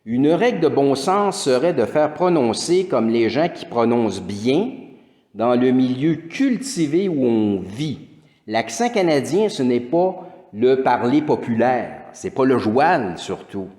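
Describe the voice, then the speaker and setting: A man's voice: mid-range voice, lively intonation, slow, warm tone. A middle-aged man with a Québécois French accent gives a lecture as a teacher, rambling with frequent restarts and fillers. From Quebec. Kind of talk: conference lecture. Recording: echoey hall with long reverb.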